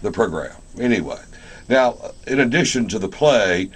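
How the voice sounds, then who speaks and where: A man's voice: on the low side, animated, moderate pace, breathy edge. An American man hosting a radio show, chatting informally as he interviews guests and promotes events, asking plenty of questions. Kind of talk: casual interview and on-air promotion. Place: radio station.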